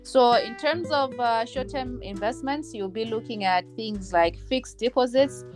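A woman in her thirties from Malawi, a finance executive, explaining things at a measured pace as a podcast guest, with many ums and uhs. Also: a little high, music in the background. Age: 30s. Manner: measured, explanatory, with frequent fillers. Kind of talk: podcast interview.

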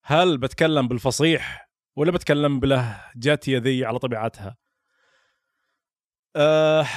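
A young Saudi man from Al-Ahsa with a Hasawi accent, a podcast guest who talks casually with quick restarts. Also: medium pitch, dry recording, clean studio sound. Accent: Hasawi Saudi Arabic. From Saudi Arabia (Al-Ahsa). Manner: quick, halting, with frequent restarts and short laughs. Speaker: podcast guest, content creator. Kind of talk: casual interview talk.

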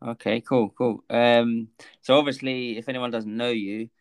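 A man's voice: medium pitch, fairly even intonation, moderate pace, clear, resonant tone, no echo. A man hosting a gym podcast, laid-back and rambling, with many fillers. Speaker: podcast host. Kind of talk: casual interview.

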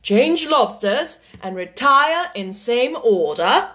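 Lady doing a bossy gryphon creature from a kids story, loud n emphatic, always butting in. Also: on the high side, very animated, takes it slowly, exaggerated voice. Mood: brisk, interrupting. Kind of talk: children's storybook character reading.

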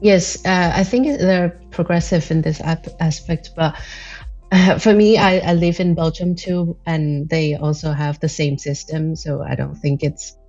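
A woman's voice: low-pitched, fairly even intonation, measured pace, a little mellow, highs cut off, soft background music. A twenty-one-year-old woman from Thailand, an English speaker, talking thoughtfully as an interview guest and searching for her words. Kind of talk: casual interview talk.

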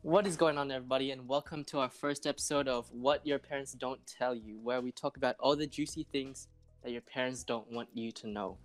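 A man's voice: medium pitch, fairly even intonation, brisk, faint music behind. A man in his twenties co-hosting a casual podcast chat, talking in laid-back slang. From Philippines. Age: 20s. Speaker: podcast co-host.

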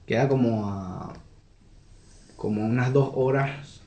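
A man's voice: mid-range voice, slow pace, darkish tone, roomy sound. A young man from Venezuela with a Venezuelan accent, answering interview questions in an earnest, reflective way. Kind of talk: conversational interview.

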